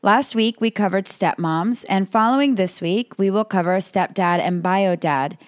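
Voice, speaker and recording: A woman hosting a podcast, slightly hoarse, reading calm advice in a scripted monologue. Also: medium pitch, moderate pace, no echo, clean studio sound. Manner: calm, advising. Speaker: podcast host.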